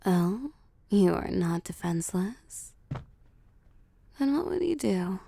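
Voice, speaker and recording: A woman voicing a seductive, possessive vampire boss in an intimate audio roleplay, cooing and teasing softly and often slipping into whispers. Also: medium pitch, sing-song, slow pace, creaky, exaggerated voice. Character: seductive, possessive female vampire CEO pampering her prey. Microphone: close, dry microphone.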